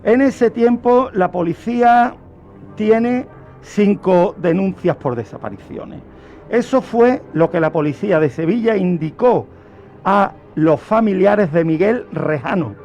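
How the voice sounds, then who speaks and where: A high-voiced man from Spain narrates true crime as a radio presenter. He phrases things deliberately and repeats words for emphasis. Music plays faintly behind him. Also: expressive, darkish tone, highs cut off. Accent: Spanish from Spain.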